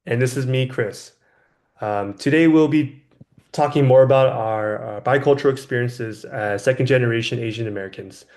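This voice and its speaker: A man's voice: mid-range voice, moderate pace, darkish tone. A young man from California in his twenties, hosting a casual podcast chat in a friendly way, with lots of ums and you knows. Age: 20s. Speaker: podcast host.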